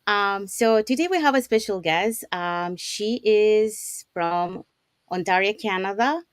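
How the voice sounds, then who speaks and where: A woman from Panama hosts a live online business show over a video call. Her voice is smooth and clear, and the sound is dry and close. She fills her talk with ums and uhs and restarts her sentences. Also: mid-range voice, slow, dry recording. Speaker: host.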